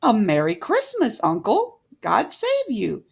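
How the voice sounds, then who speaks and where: A woman voicing a cheerful, warm-hearted young man in a storybook reading, bright and good-humoured. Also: a little high, wildly swooping pitch, takes it slowly, vocal fry, slightly rough, full character voice.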